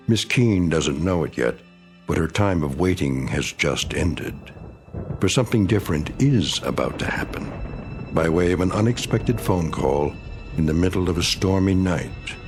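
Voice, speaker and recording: Casting: deep-voiced male narrator, measured and ominous. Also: animated. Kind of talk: scripted dramatic narration. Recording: radio drama narration with faint music.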